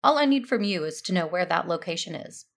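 A woman playing a calm, firm Jedi aunt in an audio drama, measured and persuasive, with a creaky voice. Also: lowish voice. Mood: grave, persuasive. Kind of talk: audio drama acting with first-person narration.

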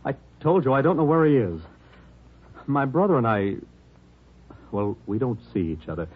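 A man's voice: medium pitch, very animated, moderate pace, slightly rough. An actor playing an evasive, defensive man who stammers nervously in a 1950s radio drama. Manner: stammering, agitated. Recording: dull old radio broadcast.

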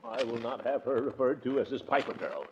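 Middle-aged male blusterer, played by an actor: pompous, flustered, very animated pitch, 1940s radio comedy, dull noisy recording. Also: brisk, natural voice. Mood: indignant. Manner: blustering, stammering, chuckling.

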